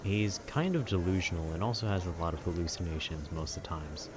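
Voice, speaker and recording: A man's voice: sits low, fast, background noise. A man casually rambling about video games in a laid-back, meandering way full of informal slang, like an amateur host talking off the cuff. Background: outdoor city ambience.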